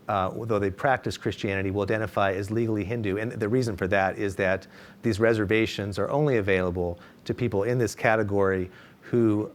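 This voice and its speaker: A man on a discussion panel, laying out research methods in a careful, explanatory way with many fillers and restarts. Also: on the low side.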